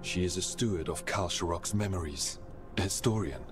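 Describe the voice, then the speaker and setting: A man's voice: low voice, normal speed, music in the background, lightly acted. A man voicing a dignified, formal dwarf in a video game, speaking in a stately way. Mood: dignified welcome. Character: deep-voiced dwarf man, dignified and formal, proud brother.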